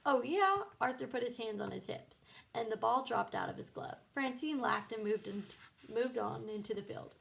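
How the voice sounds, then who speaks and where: A woman's voice: fairly high pitch, fairly even intonation, moderate pace, creaky. A woman narrating a children's book aloud as a storybook reader.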